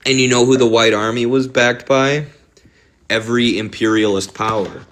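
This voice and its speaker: A man's voice: mid-range voice, fairly even intonation, a bit bright. A man with a Midwestern accent, talking politics casually on a live stream in a rambling, sardonic way. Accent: Midwestern American. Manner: rambling, fast-shifting, sardonic.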